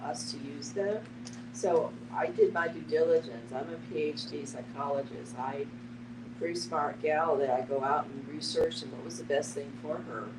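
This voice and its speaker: A woman's voice: deep voice, steady tone, normal speed. A woman giving a frank, critical personal account as a participant in a group discussion. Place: echoey room, away from the microphone. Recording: very noisy, echoey, distant microphone.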